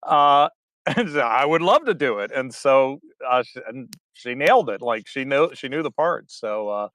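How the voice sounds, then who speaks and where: A man's voice: mid-range voice, animated, normal speed, fairly bright tone, no echo. A man, a theater director, chatting as an interview guest, wandering through his answers with lots of fillers and restarts. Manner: rambling, many fillers and restarts. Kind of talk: casual interview chat.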